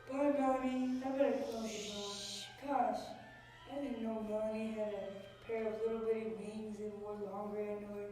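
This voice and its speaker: A woman playing a dim, agreeable coworker sidekick in an amateur radio drama, simple and slow-witted. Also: mid-range voice, level tone, mellow, soft background music, natural voice. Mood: cheerfully dim. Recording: very echoey room, distant mic.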